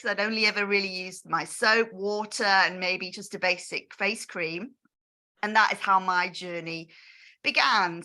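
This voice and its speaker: A middle-aged woman from the Manchester area hosts an online session in a chatty, rambling way. Her voice is bright and forceful, set at an ordinary pitch, and it comes through a video-call mic. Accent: northern English, Manchester area. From Austria, grew up in England. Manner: chatty, friendly, rambling asides. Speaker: host of online product session.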